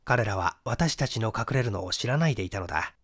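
A man's voice: lowish voice, lively intonation, fairly quick, a bit bright. A man giving a dramatic, scripted reading of a mystery novel as its narrator. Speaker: audiobook reader, stage actor.

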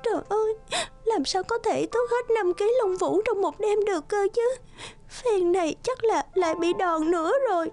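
A woman's voice: very high-pitched, normal speed, darkish tone. A woman voicing a sweet, meek, kind-hearted young girl in a cartoon, polite and plaintive. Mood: distressed, plaintive.

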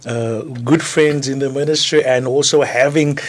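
A middle-aged man, a pastor speaking on a radio devotional show in a small studio, his voice hoarse from travel, talking fluently with restarts and easy laughs. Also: mid-range voice, fairly even intonation, normal speed. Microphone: studio mic, headphones.

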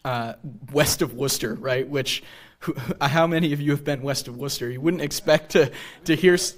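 A man's voice: on the high side, moderate pace. A young man with an American accent giving a lecture with casual asides at a theater podium microphone. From New England, USA. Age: young adult. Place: theater stage.

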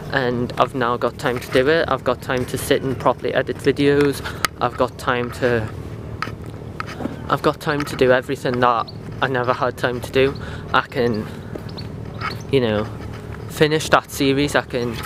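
A young man vlogging as he walks along a riverside path, talking in a reflective, rambling way with lots of fillers, recorded on wireless earbuds. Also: mid-range voice. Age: young adult. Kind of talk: casual walking vlog. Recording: outdoor street noise. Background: traffic and outdoor ambience.

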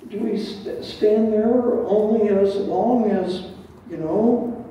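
Older pastor preaching in a roomy hall. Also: male voice, sits high, takes it slowly, mellow, a little hiss or hum. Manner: conversational preaching with asides and rhetorical questions. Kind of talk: sermon. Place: large dim church hall with stage. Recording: roomy and dull from far off.

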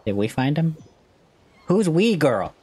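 A man's voice: a little high, big pitch swings, measured pace, mellow. A young man reacting casually to a game, slangy and sassy, drawing out his words. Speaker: gaming streamer. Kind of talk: casual let's-play reactions. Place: indoor room, webcam setup. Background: game music and voices.

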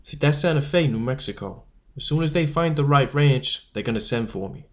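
A man's voice: mid-range voice, expressive, fairly quick, natural voice. A man doing a cocky streetwise newsboy.

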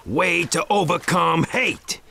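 Gravelly, swaggering rapping ninja mentor. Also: male voice, a little high, slow, pushed and bright, a little hiss or hum, exaggerated voice. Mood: cocky. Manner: slangy, rhyming, swaggering. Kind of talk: anime video game voice acting. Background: faint game music.

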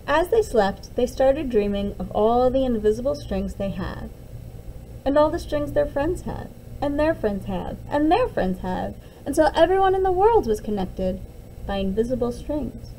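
Female storybook reader, high and lively, mellow tone, some background noise. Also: normal speed, low-quality recording. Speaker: teacher reading a picture book aloud. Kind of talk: expressive storybook read-aloud.

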